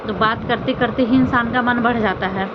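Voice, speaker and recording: A woman's voice: on the high side, fairly even intonation, moderate pace. A woman vlogger giving casual commentary on family drama, rambling and repeating phrases for emphasis. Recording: muffled audio with loud outdoor traffic noise.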